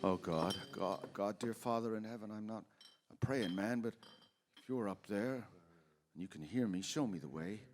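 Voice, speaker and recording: A man's voice: on the low side, moderate pace, noisy background, close to an everyday voice. A man playing a desperate, short-tempered family man in financial ruin in an old-time radio drama. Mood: despairing prayer. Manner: agitated, swinging from outbursts to subdued pleading.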